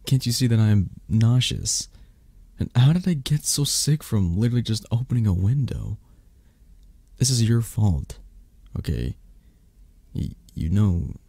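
Male actor as ailing mafia boss lover, low, dark husky voice, halting, grumbling, needy, ASMR roleplay. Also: expressive, no echo. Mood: miserable, plaintive. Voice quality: soft, breathy, raspy. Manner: halting, sighing, plaintive. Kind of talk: ASMR romance roleplay acting.

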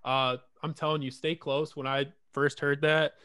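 A man's voice: on the high side, flat delivery, normal speed, pushed and bright, smooth, no echo. A young man hosting a casual interview, enthusiastic and slangy, talking into a studio mic in a small home room. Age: young adult. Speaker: host. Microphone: large studio mic on an arm.

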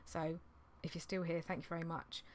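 A woman in her thirties, slightly hoarse from losing her voice, chatting in a rambling, apologetic way on a vlog, recorded in a small indoor craft room on a desktop mic. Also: deep voice, level tone, normal speed, a little hiss or hum. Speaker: clothing reseller vlogger. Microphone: desktop condenser mic on an arm.

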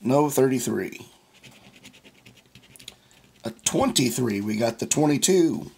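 Slow hoarse raspy man. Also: mid-range voice, lively intonation, darkish tone. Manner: slow, plain, reads numbers aloud one by one. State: hoarse from losing his voice. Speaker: scratch-off ticket channel host. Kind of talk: casual vlog narration.

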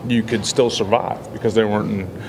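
A man in his forties, a football head coach, answering reporters after a loss in a measured, halting way with many fillers. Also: fairly low pitch, fairly even intonation, background noise. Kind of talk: post-game press scrum. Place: indoor press backdrop area. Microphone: several handheld reporter mics.

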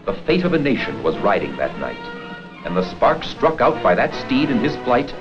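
A man reading scripted documentary narration for an educational history film in a formal, measured storytelling manner. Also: medium pitch, huge pitch swings. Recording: dull 16mm film soundtrack with music.

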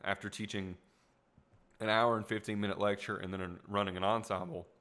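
A young podcast host thinks out loud in a small room at home, full of fillers and asides. His voice sits on the low side but has a bright, forceful edge. It sounds a little worn from a lot of talking. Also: little pitch movement, a little fast. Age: young adult. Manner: rambling, reflective, many fillers and asides. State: voice tiring. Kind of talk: casual solo podcast talk. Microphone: studio mic in front of him.

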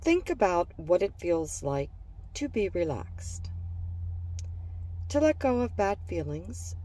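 A woman narrating a scripted guided relaxation for children in a gentle, soothing manner, leaving pauses between phrases. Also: lowish voice, big pitch swings, distant mic, rough recording.

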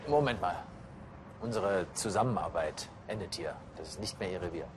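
Very deep, brusque investigator. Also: male voice, expressive, normal speed, noisy background. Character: brusque male police investigator. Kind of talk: TV crime drama dialogue.

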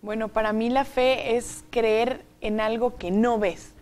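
A woman's voice: fairly high pitch, fairly even intonation, slow pace, a little mellow. A young woman student from Puebla with a Mexican Spanish accent, speaking thoughtfully and personally on a studio panel through a lapel mic. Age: young adult. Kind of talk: casual discussion. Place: television studio, indoors.

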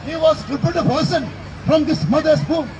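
A middle-aged man delivers an open-air street sermon with a very high voice. He speaks emphatically and keeps repeating his declarations into a handheld mic. Behind him a busy city plaza hums with crowd chatter and traffic noise. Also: moderate pace, highs cut off. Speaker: street preacher. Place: outdoor city plaza by a railway station. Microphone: handheld microphone.

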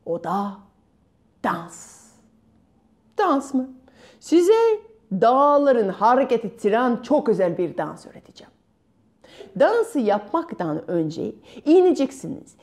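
A middle-aged woman telling a children's fairy tale expressively, with dramatic pauses. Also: a little high, very animated, a little mellow. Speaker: children's storyteller. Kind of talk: performed fairy-tale storytelling. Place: bright room at home by a window. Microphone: small clip-on lapel mic.

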